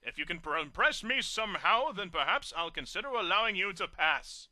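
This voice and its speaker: A man's voice: high voice, expressive, bright, forceful tone, no echo, exaggerated voice. A man doing a snooty monocled pegasus. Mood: haughty. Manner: snooty, haughty, drawn-out.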